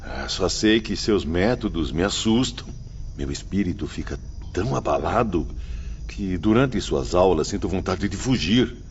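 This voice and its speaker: A man's voice: low-pitched, expressive, unhurried, slight background noise. A man playing a fearful, troubled spirit student in an audiobook drama, subdued and full of dread. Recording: dull lo-fi, faint background ambience.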